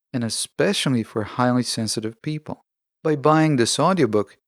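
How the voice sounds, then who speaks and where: A man with a fairly high voice delivers a prepared self-help podcast monologue. His intonation moves up and down a great deal while he explains things in a deliberate, earnest manner. The sound is dry and close. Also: no room sound. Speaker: podcast host.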